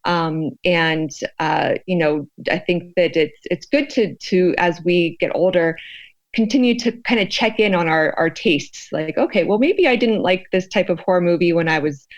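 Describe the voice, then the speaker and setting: A woman's voice: on the low side, moderate pace, dull audio. An American woman talking conversationally as an expert interview guest, feeling her way thoughtfully through her phrasing. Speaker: expert guest, sociologist researching fear.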